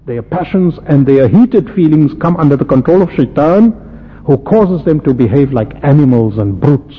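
A man's voice: a little high, expressive, moderate pace, husky. A man preaching an impassioned Islamic sermon, emphatic, with repetition and rhetorical questions. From South Africa. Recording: muffled, reverberant hall with background noise.

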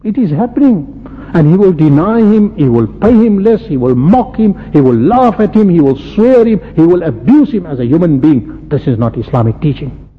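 A man's voice: a little high, animated, moderate pace, husky. A man preaching an impassioned Islamic sermon, emphatic, with repetition and rhetorical questions. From South Africa. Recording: muffled, reverberant hall with background noise.

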